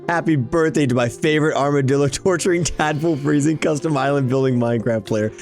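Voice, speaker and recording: A man's voice: on the high side, fast, darkish tone. A man giving casual, enthusiastic gameplay commentary, chatting and rambling as he hosts. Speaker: video game YouTuber, host. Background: background music.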